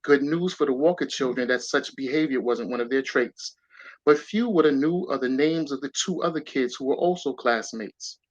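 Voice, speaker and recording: A man's voice: mid-range voice, moderate pace, no echo. A man, a guest author, reading his rhyming children's story aloud with a rhythmic storytelling lilt. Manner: rhythmic storyteller reading verse, lively emphasis. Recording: dull lo-fi sound.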